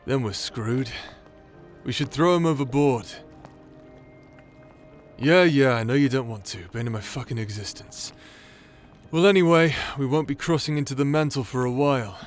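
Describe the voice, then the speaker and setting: An actor playing a crude, irreverent rogue warrior in a fantasy audio roleplay, talking in a casual, sarcastic, teasing way. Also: male voice, mid-range voice, normal speed, soft background music, lightly acted. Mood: teasing, bored. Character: cheeky, crude, irreverent bastard warrior man, sardonic rogue.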